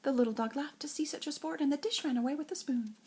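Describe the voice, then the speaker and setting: An older woman with a breathy, husky voice reads nursery rhymes like a grandmother, in an expressive singsong storytelling way. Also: high-pitched, fast, a little hiss or hum. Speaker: grandmother reading to children.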